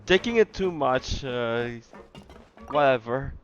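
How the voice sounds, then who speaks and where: A man giving casual playthrough commentary as he plays a game, in halting asides. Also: mid-range voice, expressive, highs cut off, soft background music. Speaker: gamer playing and reacting.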